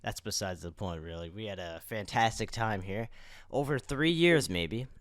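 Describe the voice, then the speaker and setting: Low, smooth young man podcasting. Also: lively intonation, moderate pace, no echo. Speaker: podcast co-host. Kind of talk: casual podcast chat.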